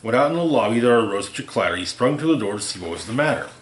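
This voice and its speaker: A man with a medium-pitched voice reads a rhyming holiday story aloud. His delivery is theatrical and deliberate, shaped around the verse. The recording is roomy and echoey, like a home reading. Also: lively intonation. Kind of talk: expressive read-aloud of a rhyming holiday parody.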